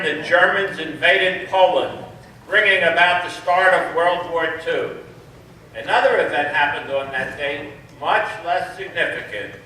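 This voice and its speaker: Bright, high-pitched man as a nostalgic play narrator. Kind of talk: scripted narration. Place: amateur club stage in an echoey hall. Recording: echoey hall, distant, faint noise.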